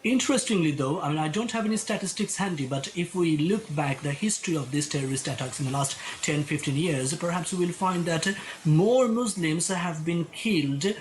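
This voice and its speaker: A man's voice: fairly high pitch, a little fast, low-quality recording. A man from Bangladesh, a political commentator and lecturer, answering interview questions with frequent restarts and repeated words. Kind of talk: remote interview guest.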